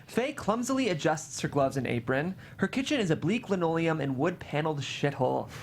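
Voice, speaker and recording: A table-read host delivers scripted stage directions. The voice is medium in pitch and highly animated, with dramatic phrasing over faint background noise. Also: a little fast.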